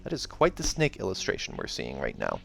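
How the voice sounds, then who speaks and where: A man reading a fantasy novel aloud in an expressive narrating style, as a reader who also comments casually. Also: low-pitched, a little fast, creaky.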